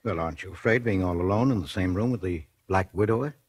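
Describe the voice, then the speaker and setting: A man's voice: low voice, fast. An actor playing a bitter, brooding middle-aged man accused of murder, with a sardonic manner, in 1940s radio drama style. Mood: bitterly mocking. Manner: sardonic, mocking. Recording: husky-sounding vintage 1940s radio recording.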